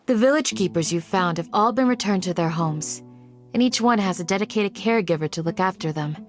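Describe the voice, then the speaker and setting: An actress voicing a kind young woman in a video game, talking in a soft, polite and encouraging way. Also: lowish voice, a little fast, faint music behind, natural voice. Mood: gentle.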